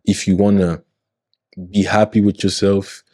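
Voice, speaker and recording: A man's voice: on the low side, level tone, unhurried, darkish tone, no echo, studio quality. A man in his twenties, a podcast guest, talking earnestly in a motivational way and often checking in with his listener. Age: 20s. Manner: earnest, motivational, often checks in with "you know what I mean". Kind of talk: casual podcast conversation.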